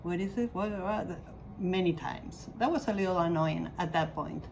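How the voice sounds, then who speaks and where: A woman who learned later, reflecting in a measured way on her experiences. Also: on the low side, gravelly, darkish tone, slight background noise. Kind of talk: personal account.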